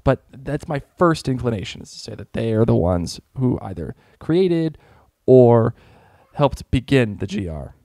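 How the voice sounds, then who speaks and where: A man with a relaxed, mellow voice hosts a casual fan podcast. He speaks informally, stopping and starting as he thinks aloud, in a very dry-sounding space. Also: medium pitch, a little slow, vocal fry, no room sound. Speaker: podcast host.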